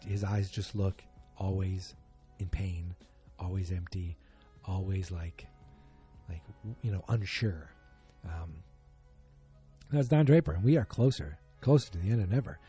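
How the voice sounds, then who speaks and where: A man's voice: deep voice, unhurried, mellow, soft background music. A man hosting a solo podcast, chatting casually in a rambling, self-deprecating, joking way. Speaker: podcast host.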